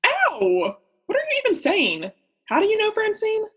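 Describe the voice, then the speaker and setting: A woman's voice: very high voice, wildly swooping pitch, moderate pace, a bit bright, heavily acted. A young woman playing a naive, oblivious male oceanographer in a student radio play, earnest and melodramatic. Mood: bewildered, defensive. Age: young adult.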